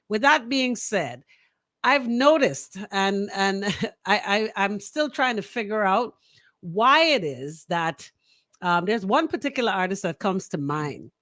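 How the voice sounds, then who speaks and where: A woman's voice: mid-range voice, fairly bright tone, dry recording. Female radio talk host, Bahamian accent. Emphatic, opinionated delivery in a dry studio. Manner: emphatic, repeats phrases for emphasis.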